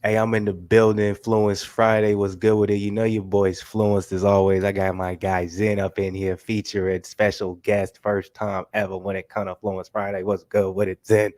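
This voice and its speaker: A man hosting a casual boxing talk show while sick with a stuffy nose, talking in slangy, animated bursts and filling his speech with "you know what I'm saying". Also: lowish voice, normal speed, hardly any pauses, no room sound. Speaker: host.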